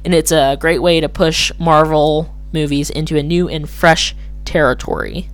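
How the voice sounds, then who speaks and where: Slightly hoarse rambling podcast host. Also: mid-range voice, steady tone, normal speed, faint music behind. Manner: rambling, hesitant, self-correcting. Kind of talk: casual solo commentary.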